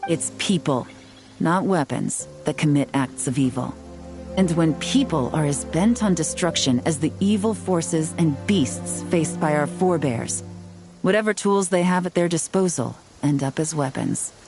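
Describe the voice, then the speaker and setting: An actress voicing a confident, sharp-witted young woman in a video game, speaking in an assured, lecturing way. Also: low voice, animated, measured pace, over music.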